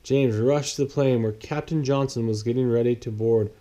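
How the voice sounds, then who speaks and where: A man's voice: mid-range voice, steady tone, moderate pace, mellow. A man reading a children's picture book aloud as a bedtime story.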